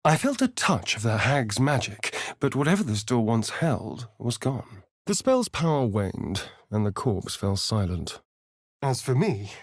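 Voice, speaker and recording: Sly british vampire rogue for a video game, arch and drawly, pitch swoops all over, slow and dramatic. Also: male voice, no room sound, studio quality. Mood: urgent, guarded. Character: sly, vain, theatrical male vampire spawn rogue.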